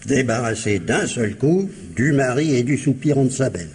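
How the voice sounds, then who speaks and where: An actor playing a blunt, needling policeman with a husky voice in a 1950s-style French radio crime drama, speaking familiarly and wryly. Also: medium pitch, animated, normal speed, a little mellow. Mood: wry, scolding.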